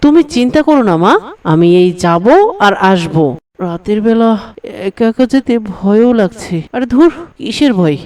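A woman's voice: fairly high pitch, expressive, moderate pace, darkish tone, clear, resonant tone, lightly acted. Earnest, dutiful young cartoon boy voiced by a woman. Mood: earnest, caring. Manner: earnest, talks to himself aloud. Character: dutiful young boy devoted to his sick mother. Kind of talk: Islamic moral cartoon dialogue.

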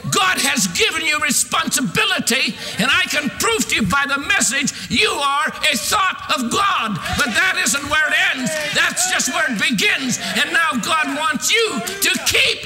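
Male preacher, 60s, very high forceful voice, emphatic sermon delivery, recorded in a reverberant hall. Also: big pitch swings, music in the background. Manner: emphatic, declamatory delivery with drawn-out stressed phrases. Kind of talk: fervent sermon. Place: large church sanctuary, at a pulpit. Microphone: podium microphone.

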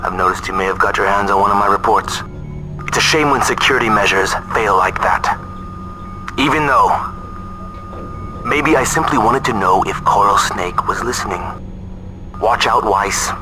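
A man's voice: on the low side, moderate pace, long pauses, music in the background, close to an everyday voice, rough recording. A man playing a condescending, coldly menacing colonel, a sardonic villain in a video game. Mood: smug, menacing.

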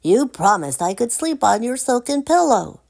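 A woman's voice: a little high, big pitch swings, moderate pace, creaky, darkish tone, voice pushed up, full character voice. A woman voicing a pushy, greedy male frog in a storybook reading, wheedling and demanding.

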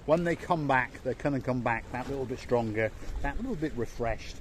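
A man's voice: medium pitch, moderate pace, a little hiss or hum. A man in his fifties presenting a walking travel vlog to camera, enthusiastic and chatty, filmed on a phone at arm's length outdoors at a seaside harbour. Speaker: vlogger. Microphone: phone held at arm's length.

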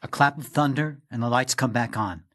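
A man reading the stage directions of a play in measured, scripted narration. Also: medium pitch, fairly bright tone.

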